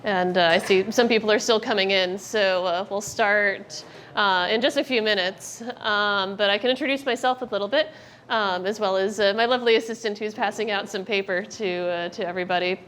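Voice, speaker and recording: A woman's voice: medium pitch, fairly even intonation, fairly bright tone. A young American woman informally introducing a workshop to a live group, chatty, friendly and a little hesitant. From United States. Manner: hesitant, frequent ums and pauses, chatty and friendly. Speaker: workshop presenter, research director. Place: indoor workshop room with an audience. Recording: live room with clear background noise.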